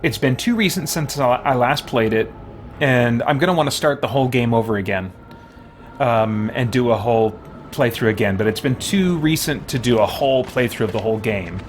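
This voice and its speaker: A man's voice: medium pitch, slight background noise. A man live-streaming a game, chatting casually and reading viewer comments, rambling as he thinks aloud. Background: game music.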